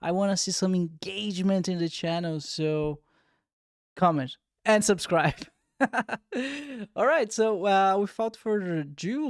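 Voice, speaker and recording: A man's voice: sits high, dry recording, studio quality. A man hosting a podcast, chatty and eager as he greets listeners and interviews his guest. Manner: eager, chatty, asks for engagement. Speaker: podcast host.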